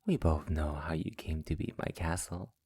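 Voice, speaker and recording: A man's voice: extremely low pitch, darkish tone. A man acting a sadistic, possessive vampire master in an intimate ASMR roleplay, speaking in a silky, teasing, condescending manner that often slips toward a whisper. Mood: seductive, menacing. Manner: slow, teasing, often dropping into breathy whispers; silky, formal, condescending endearments. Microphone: close mic in a dry, quiet space.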